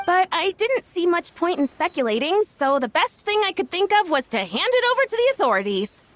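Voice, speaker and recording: A woman's voice: very high-pitched, very animated, a little fast, no room sound. A woman voicing a chatty, modest young female staffer in a video game, with a slightly bashful manner. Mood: earnest.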